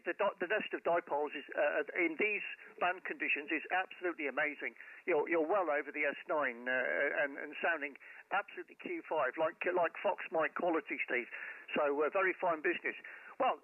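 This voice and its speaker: Male radio operator, slightly high voice, enthusiastic, hesitant phrasing, casual chat over thin narrow-band audio. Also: no echo. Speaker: amateur radio operator, live streamer. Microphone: headset microphone.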